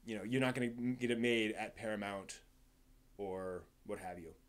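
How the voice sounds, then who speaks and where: Rambling, halting man hosting a live stream. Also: fairly low pitch. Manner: rambling, halting, often restarts sentences. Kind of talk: casual live stream commentary on film and anime news.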